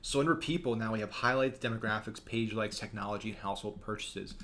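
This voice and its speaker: Young man, lowish voice, casual course instructor, talks fast with lots of ums, webcam in small room. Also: a bit bright. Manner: quick, conversational, frequent "um" fillers. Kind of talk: screen-share course lesson.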